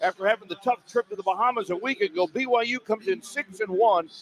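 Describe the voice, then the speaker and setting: A man's voice: high-pitched, fast talker, poor audio. An American man hosting a sports radio pregame broadcast as play-by-play announcer, with a polished delivery.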